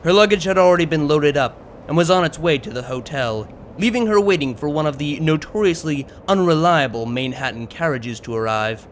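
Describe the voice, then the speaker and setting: Higher-pitched male audiobook narrator. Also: lively intonation, a little fast, hardly any pauses, a little hiss or hum. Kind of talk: scripted story narration.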